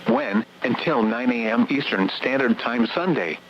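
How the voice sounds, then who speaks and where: A synthetic male text-to-speech voice reading a scripted weather warning bulletin in a formal, measured, segmented way. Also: mid-range voice, sing-song, vocal fry. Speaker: automated weather radio announcer. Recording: muffled, noisy weather radio broadcast.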